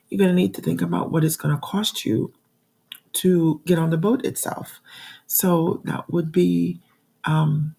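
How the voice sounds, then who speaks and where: A woman in her forties, hoarse from a cold, chatting to viewers about travel costs in a casual vlog with frequent ums. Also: on the low side, mellow. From New York. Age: 40s. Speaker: budgeting channel host. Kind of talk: casual talking-to-camera vlog.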